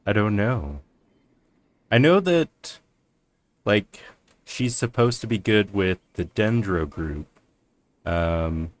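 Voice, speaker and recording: A man's voice: lowish voice, expressive, takes it slowly, pauses often. A man doing casual game commentary as a streamer, chatting in an informal, rambling way. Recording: faint game music behind.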